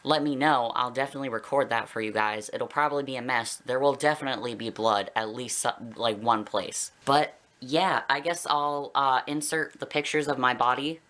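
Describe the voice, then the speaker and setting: A man's voice: mid-range voice, fairly even intonation, moderate pace, hardly any pauses, bright, forceful tone. A young adult man with a Canadian accent talking casually and candidly to viewers in a personal vlog, rambling a little. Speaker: video blogger.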